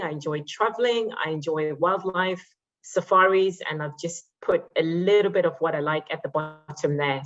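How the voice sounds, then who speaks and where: A woman from Zimbabwe giving a conference presentation as a solution architect, conversational with frequent fillers. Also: low voice. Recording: muffled lo-fi audio.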